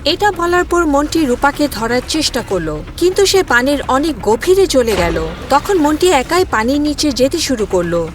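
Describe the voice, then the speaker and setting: A woman's voice: high voice, very animated, moderate pace. A woman narrator reading a scripted cartoon fairy tale.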